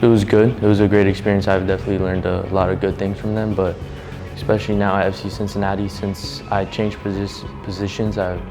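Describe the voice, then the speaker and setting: Teen boy footballer, very low voice, monotone, music under him. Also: fast talker, few pauses. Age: teens. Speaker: youth soccer player. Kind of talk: interview answers.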